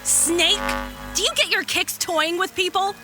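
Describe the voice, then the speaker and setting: A woman's voice: extremely high pitch, steady tone, unhurried, music in the background. A woman playing a sarcastic, plucky young fighter with a wry, feisty manner in a fantasy audio drama. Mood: defiant.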